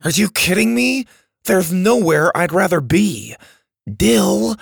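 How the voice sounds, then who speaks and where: A man voicing an excitable, stubborn boy in a children's story, eager and argumentative. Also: fairly high pitch, unhurried, no echo, studio quality, pitched above the performer's own voice. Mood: excited. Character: excitable boy obsessed with aliens, scoffing and stubborn.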